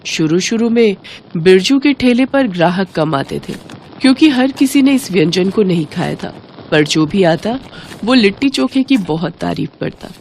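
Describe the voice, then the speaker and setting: A woman's voice: medium pitch, animated, normal speed, a little mellow. A woman narrating a scripted moral story, with slight noise in the recording.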